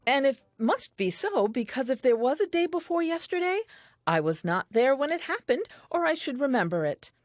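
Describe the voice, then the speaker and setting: Newly created first woman, curious, earnest, animated delivery, precise diction, audio play diary, dry close sound. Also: high voice, moderate pace, no echo. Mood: wondering. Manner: wondering, precise, naively self-assured.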